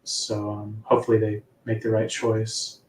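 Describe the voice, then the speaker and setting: A man with a soft, sleepy-sounding voice, chatting casually as an author guest in a live interview. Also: fairly low pitch, completely flat delivery, normal speed. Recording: echoey room, distant from mic.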